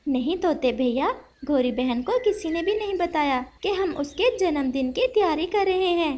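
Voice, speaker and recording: A woman voicing a kind, polite little female sparrow in a children's cartoon, gentle and earnest. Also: very high-pitched, expressive, moderate pace. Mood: friendly. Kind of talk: Hindi children's cartoon voice acting.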